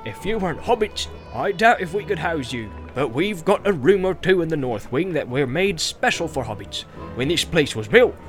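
A man's voice: on the high side, sing-song, moderate pace, few pauses. A man voicing a bustling, talkative, forgetful stout male innkeeper who chatters on in a flustered rush. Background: music.

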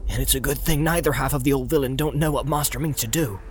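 A man's voice: mid-range voice, quick pace, faint music behind, pitched above the performer's own voice, natural voice. A man voicing a loyal, plain-spoken male hobbit servant who grumbles his suspicions in a folksy way. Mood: suspicious.

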